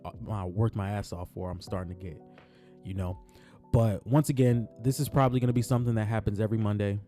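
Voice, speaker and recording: Raspy-voiced man in a laid-back basketball vlog. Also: fairly low pitch, mellow. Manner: laid-back, repeats phrases, heartfelt. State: raspy morning voice. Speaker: sports vlogger. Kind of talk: casual basketball talk vlog. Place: on his way to work.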